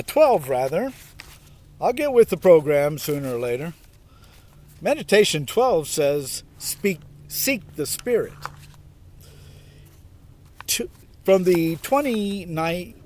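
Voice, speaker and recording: A man hosting a meditation video, reading aloud at a very slow pace and then adding his own asides. His voice sits a little high and moves up and down a lot. The delivery is halting, wry and laid-back. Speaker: self-styled priest, meditation video host. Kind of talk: reading aloud with informal reflective commentary. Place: outdoors in a park.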